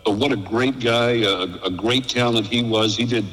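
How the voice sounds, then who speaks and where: Older man, radio veteran guest, bright forceful voice, casual reminiscing, long reverb. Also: medium pitch, moderate pace.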